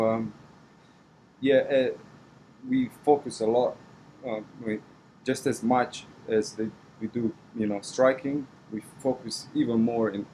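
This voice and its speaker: Man, low voice, Romanian accent, halting conversational delivery, repeated words, faint background hum. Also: a little mellow, poor audio. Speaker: wrestling coach. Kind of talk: interview answers, conversational.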